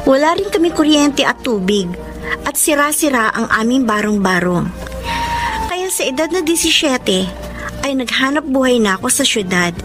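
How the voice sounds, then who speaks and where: A young Filipina heroine of a radio drama. Her voice is high and swings widely with feeling as she plays a poor, dutiful daughter. Music plays clearly behind her. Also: normal speed. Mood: sorrowful, reflective. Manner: emotive, expressive. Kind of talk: radio drama acting and first-person letter narration.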